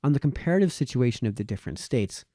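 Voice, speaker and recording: A man narrates a formal essay in a mellow, relaxed voice of average pitch. His delivery is deliberate and expository, with lively rises and falls that pick out key phrases. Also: no room sound, studio quality. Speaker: reader, narrator. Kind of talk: reading a formal historical essay aloud.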